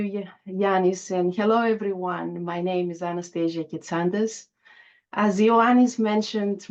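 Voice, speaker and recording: A woman from a university giving polite, measured remarks at an online panel. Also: fairly low pitch, darkish tone, highs cut off. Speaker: university faculty member.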